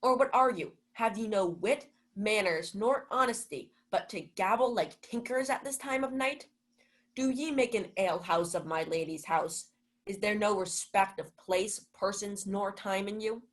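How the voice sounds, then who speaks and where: A woman's voice: medium pitch, a little slow, lightly acted. A young woman playing a pompous, indignant male household steward in a Shakespeare radio play. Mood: indignant scolding. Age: young adult. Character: Malvolio, haughty scolding male household steward.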